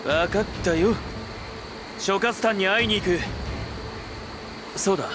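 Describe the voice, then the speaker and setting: A man acting an easygoing but earnest young army commander in a Japanese video game, with casual, rough-edged speech. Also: sits high, measured pace, noisy background. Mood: grudging, casual. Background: background music.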